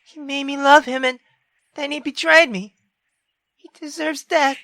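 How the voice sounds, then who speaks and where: A woman's voice: high voice, fairly even intonation, creaky, fairly bright tone, voice pushed up, heavily acted. A player acting a venomous, commanding mother. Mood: pleading, vengeful. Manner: venomous, commanding, drawn-out delivery. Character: cruel, accusing mother scolding her daughter.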